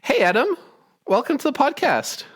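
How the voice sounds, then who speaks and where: A man's voice: extremely high pitch, big pitch swings, normal speed, fairly bright tone. A man hosting a podcast, asking friendly, curious questions and often chuckling. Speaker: podcast host. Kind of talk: conversational interview.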